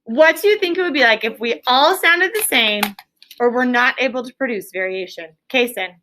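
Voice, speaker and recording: High, bright woman teacher. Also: normal speed. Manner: animated, encouraging, prompting. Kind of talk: classroom discussion leading. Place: classroom.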